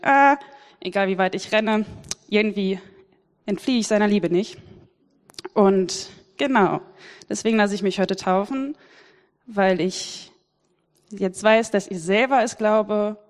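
A woman of twenty giving a fluent, lightly humorous personal testimony in church. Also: medium pitch. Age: 20s. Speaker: student and baptism candidate. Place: church hall. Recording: reverberant church hall.

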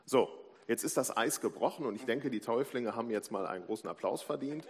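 A man's voice: mid-range voice, fast. A man leading a church service, asking baptism candidates brief, friendly questions over a microphone in a church hall. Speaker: service moderator. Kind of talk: quick ice-breaker interviews in a church service. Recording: reverberant hall with clear background noise.

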